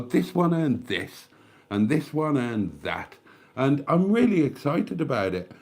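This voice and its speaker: A man's voice: medium pitch, a little mellow. An older man with a British accent hosting a casual livestream from home, congested with a cold and coughing, calling his viewers darlings in a rambling, self-mocking way. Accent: British English. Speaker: YouTube livestream host. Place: at home, near the fridge. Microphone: desk microphone.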